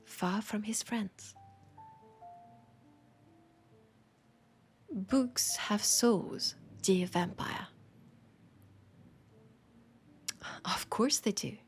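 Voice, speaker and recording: A woman with a French accent playing a weary, passionate bookshop owner in an intimate roleplay, softly and pensively teasing a vampire customer. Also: medium pitch. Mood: earnest, playful. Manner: unhurried, pensive, teasing, with sighs and pauses. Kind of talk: intimate audio roleplay, scripted monologue addressed to listener.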